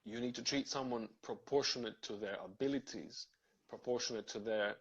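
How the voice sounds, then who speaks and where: A man's voice: medium pitch, moderate pace, husky, lo-fi sound. A man from Serbia, talking things through thoughtfully in a casual live video chat, with many fillers and restarts.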